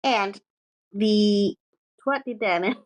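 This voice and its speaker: A woman's voice: medium pitch, slow, low-quality recording. A woman co-hosting a podcast, partly reading from notes and chatting casually, with sweary, self-mocking asides. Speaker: podcast co-host presenting research.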